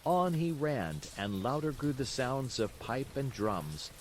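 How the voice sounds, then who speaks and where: A man reading a bedtime story as an expressive storyteller, in scripted narration. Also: medium pitch, takes it slowly, slight background noise. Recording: steady rain sounds behind the voice. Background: rain.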